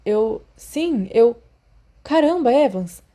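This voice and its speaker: Reserved teenage schoolboy for audiobook fiction, read by a woman: polite, understated, hesitant, mellow. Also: a little high, lively intonation, takes it slowly, voice pushed up. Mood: amazed. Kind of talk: fan fiction audiobook character voice.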